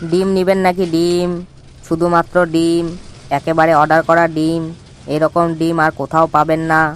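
A scheming male jackal from an animated fable, voiced by a man. He is bossy and smooth-talking, with a narrow, even melody and faint noise behind him. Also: fairly high pitch, moderate pace, natural voice. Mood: sly sales patter. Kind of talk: cartoon voice acting.

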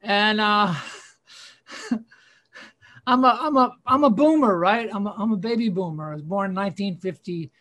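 Comedian in his sixties, fairly high voice for a man, deadpan and rambling, on a webcam. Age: 60s. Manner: rambling, self-deprecating, halting with fillers. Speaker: stand-up comedian. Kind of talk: deadpan stand-up routine.

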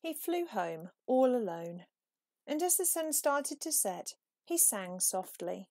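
A woman reading a children's picture book aloud as an expressive, animated storyteller. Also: a little high, slow, a little hiss or hum. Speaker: storybook reader.

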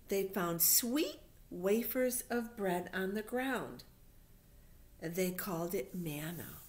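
Slow-speaking middle-aged woman reading to children. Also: medium pitch, slight background noise. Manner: simple, patient explaining with deliberate emphasis. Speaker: children's Sunday school storyteller. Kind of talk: reading Bible stories aloud to young children, explaining as she goes. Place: living room at home. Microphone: webcam or laptop microphone at arm's length.

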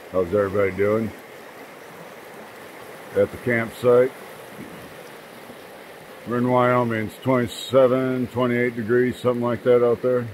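A man's voice: mid-range voice, little pitch movement, unhurried, long pauses, darkish tone. Folksy older man vlogging outdoors. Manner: chatty, folksy, rambling asides. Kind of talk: casual vlog narration. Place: outdoors at a mountain campsite by a creek. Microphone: phone camera at arm's length. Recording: faint outdoor noise of a stream. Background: running creek water.

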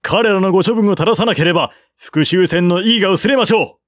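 Earnest court retainer, acted by a male narrator: raised pitch, formal, insistent, historical audiobook. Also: fairly quick, dry recording, studio quality, lightly acted. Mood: urgent, insistent.

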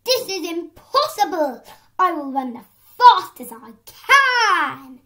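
A young girl voicing an arrogant male horse in a children's story, with drawn-out, exclaiming delivery. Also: very high voice, sing-song, bright, forceful tone, voice pushed up, exaggerated voice. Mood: astonished, determined. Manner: taunting, exclaiming, drawn-out words. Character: boastful mocking male horse, arrogant, later humbled.

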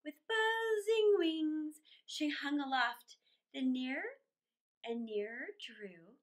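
A middle-aged woman reading a poem aloud for children as a storytime host, theatrically, with dramatic pauses. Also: high voice. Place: small indoor room with plain wall.

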